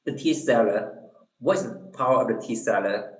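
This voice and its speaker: A man's voice: medium pitch, normal speed. A man from Eastern China with a Chinese accent, chatting casually with viewers on a livestream, hesitant and repeating words as he searches for English phrases. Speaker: livestream host. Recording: echoey room.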